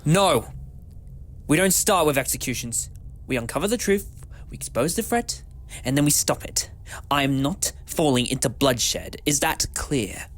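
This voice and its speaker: A man playing an eccentric, quick-witted, principled time-traveller in an audio drama, chatty and cheeky. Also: a little high, expressive, a bit bright. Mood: defiant, firm.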